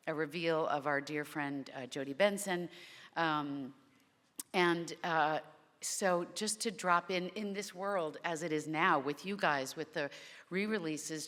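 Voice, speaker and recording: A woman voice actor on a convention panel, talking in a rambling, heartfelt way with many restarts and a naturally low voice. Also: a bit bright, big-room sound.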